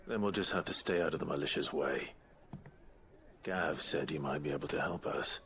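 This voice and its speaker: A man's voice: very deep voice, normal speed, a bit bright, slight background noise. A man playing a brooding, guarded young branded soldier with an English accent in a game cutscene, grave and earnest. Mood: resolute. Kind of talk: dramatic video game cutscene acting. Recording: music behind the voice.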